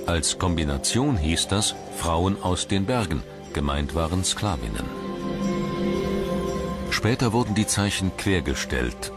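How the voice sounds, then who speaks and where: Very low-voiced documentary narrator, male. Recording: loud music under the voice. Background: loud background music.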